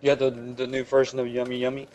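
A man's voice: mid-range voice, completely flat delivery, quick pace, darkish tone, slight background noise. A man co-hosting a casual podcast who fires off wry, teasing retorts. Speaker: podcast co-host. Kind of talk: casual banter.

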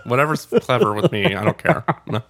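A man's voice: mid-range voice, sing-song, a little fast, fairly bright tone. A man co-hosting a podcast in casual chat who laughs often. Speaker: podcast co-host.

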